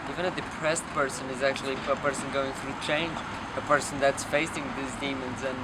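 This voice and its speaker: A man's voice: medium pitch, little pitch movement. A man rambling philosophically off the cuff, searching for words with hesitations and some swearing. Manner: halting, searching, profane. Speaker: documentary film subject. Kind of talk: unscripted rambling philosophical musing. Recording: noisy outdoor street with traffic.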